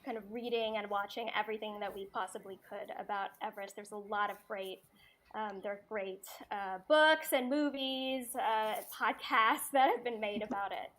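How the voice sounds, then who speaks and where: A woman's voice: mid-range voice, moderate pace, a bit bright. A woman writer on a video call, speaking thoughtfully with frequent ums. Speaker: musical theatre writer. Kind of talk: casual video call chat.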